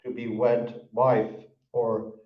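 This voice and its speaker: A man's voice: medium pitch, little pitch movement, slow pace. A Swedish man in casual discussion, musing and repeating words as he thinks. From Sweden. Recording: distant, muffled, echoey.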